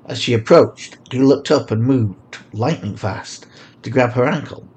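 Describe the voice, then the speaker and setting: A man's voice: medium pitch, measured pace, gravelly, a little mellow. A man reading scripted fiction as a story narrator.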